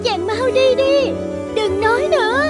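Very high-pitched young bride. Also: female voice, a little slow. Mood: urgent, anxious. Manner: polite, pleading, old-fashioned wifely speech. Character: gentle young ghost bride, soft-spoken and pleading. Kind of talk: animated folktale dubbing. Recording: music under the voice.

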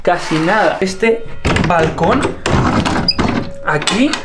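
A man's voice: high-pitched, animated, extremely slow, very noisy. A young man from Spain filming an enthusiastic travel vlog to a handheld camera, talking casually and exclaiming as he shows a village house and streets. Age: young adult. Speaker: travel vlogger. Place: village house and streets at night, indoor and outdoor. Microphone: handheld camera at arm's length.

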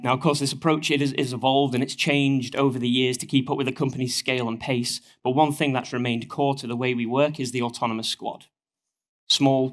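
A man in his thirties with a northern English accent, giving a conference presentation from a lectern on a headset mic while getting over a cold. His delivery is steady and explanatory, with frequent ums and uhs. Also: medium pitch. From northern England. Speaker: conference speaker. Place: stage of a conference hall, at a lectern.

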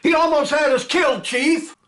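A man's voice: very high-pitched, fairly even intonation, normal speed, pitched above the performer's own voice. A man reading a play aloud, voicing a brash, boastful young male airman who bullies and taunts. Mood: taunting. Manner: loud, boastful, laughing.

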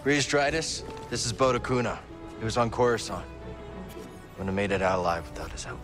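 Game lead: young heroic knight male actor, low register, kind, casual, reassuring, loud score underneath. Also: animated, a little fast, lightly acted. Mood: friendly. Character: kind, steady, helpful young male Jedi hero. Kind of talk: video game cutscene acting. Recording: loud music behind the voice.

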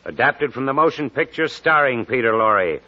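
A man's voice: medium pitch, very animated, normal speed. A man announcing a program in dramatic 1940s radio style. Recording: muffled vintage radio over loud music.

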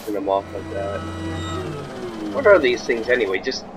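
Croaky young man narrating a game. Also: on the low side, moderate pace, music in the background. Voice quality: a little croaky. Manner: jumpy, chatty, talks to viewers. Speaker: gamer. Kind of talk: casual gameplay commentary.

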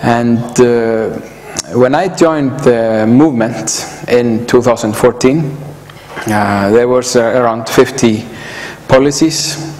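A middle-aged man from Iceland with an Icelandic accent, giving an informal presentation in a hesitant, halting way full of pauses and filler sounds. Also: medium pitch, darkish tone. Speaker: conference speaker. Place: indoor hall, standing by a projector screen. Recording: echoey hall from afar, background hiss.

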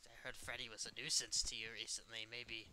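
A man's voice: medium pitch, little pitch movement, brisk, noisy background. A man role-playing a smug, teasing evil puppet killer in playful, mock-innocent banter over a game. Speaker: role-play gamer.

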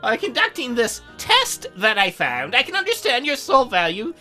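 A man voicing a teasing young female demon dealmaker in a coy, formal, measured way for a game. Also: very high-pitched, huge pitch swings, pushed and bright, soft background music, full character voice. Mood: coy, businesslike.

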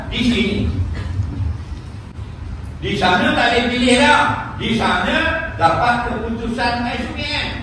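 An older man giving a humorous mosque lecture, teasing his listeners and chanting Arabic verses. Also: high-pitched. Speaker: religious teacher. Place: mosque lecture room, indoors. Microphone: stand mic on desk, recorded by a far camera. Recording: echoey hall from a far camera, noisy.

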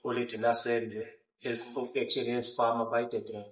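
A man's voice: medium pitch, little pitch movement, pushed and bright. A South African rapper in his late thirties talks outdoors beside a brick building, and the recording has a roomy echo. He starts his sentences over again and again and mixes Xhosa phrases into his English. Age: 30s. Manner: halting, many restarts, mixing in Xhosa phrases. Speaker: rapper guest. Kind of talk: casual interview.

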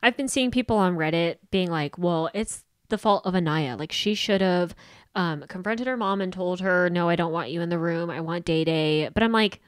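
A woman's voice: fairly low pitch, moderate pace, clear, resonant tone, no echo. A woman co-hosting a podcast in casual, opinionated chat, blunt and sweary, often mimicking people's dialogue, close on a studio microphone. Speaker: podcast co-host. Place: small indoor podcast studio. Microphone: podcast microphone on boom arm, close.

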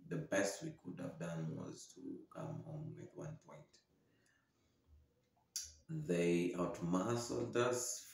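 A young man giving solo football commentary as a podcast host, halting and downcast, speaking into a desk microphone in a small room. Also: deep voice, vocal fry, a little mellow. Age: young adult. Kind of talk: solo post-match commentary to camera. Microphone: desktop podcast microphone, close. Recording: very echoey room.

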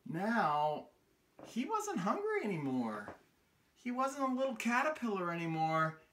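A middle-aged American man hosting a children's storytime, reading a picture book aloud in an expressive, sing-song way with questions to his listeners. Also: high-pitched, a little slow, a little hiss or hum. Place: small room indoors on a couch.

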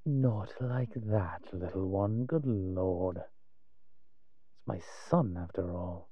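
A man playing a wry, cautious vampire father in an audio roleplay, speaking in a measured, musing way to the listener. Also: low voice, animated, narrow, tinny audio, exaggerated voice. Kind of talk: scripted audio roleplay acting addressed to the listener.

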